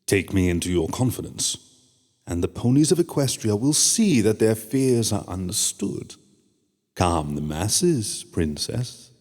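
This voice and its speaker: A sly pony upstart who flatters and schemes in a smooth, lowish voice, as a man acts him out. He speaks with a long hall reverb, like a radio play. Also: a little slow. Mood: scheming. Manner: smooth, fawning and sly, with soft chuckles. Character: scheming, oily male pony upstart courtier. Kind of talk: fan-made radio drama.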